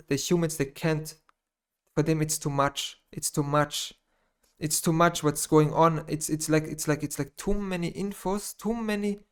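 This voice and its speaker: A man speaking English with a German accent, his voice sitting a little high for a man. He talks off the cuff in a personal monologue, rambling, stumbling over words and repeating himself as he goes. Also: clean tone. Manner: rambling, halting, repeats phrases, frequent restarts. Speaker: gaming YouTuber.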